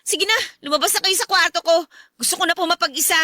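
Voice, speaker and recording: A woman's voice: extremely high pitch, fast, bright, forceful tone, no echo, studio quality. A woman with a Filipino accent playing a grieving, stubborn teenage daughter in an emotional radio drama. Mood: upset, defiant.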